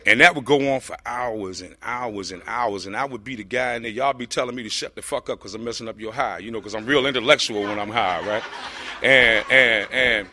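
A man with a deep voice telling his personal recovery story to a group, rambling with lots of fillers. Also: a little fast, pushed and bright. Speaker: speaker sharing a recovery story.

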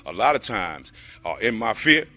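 A man with a deep voice telling his personal recovery story to a group, rambling with lots of fillers. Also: very animated, moderate pace, pushed and bright. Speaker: speaker sharing a recovery story.

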